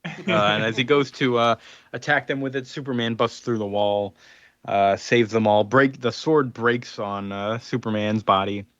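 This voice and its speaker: A man's voice: medium pitch, steady tone, normal speed, fairly bright tone, no echo. Rambling man recapping, dull sound. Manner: rambling recap with many fillers. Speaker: podcast co-host. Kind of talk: casual comic book discussion.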